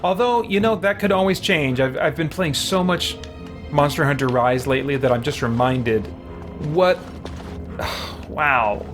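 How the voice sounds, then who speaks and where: A man's voice: a little high, a little slow. Musing man streaming a game over music. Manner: musing, rambling, thinking aloud. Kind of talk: casual live-stream chat and game commentary. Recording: music playing behind the voice.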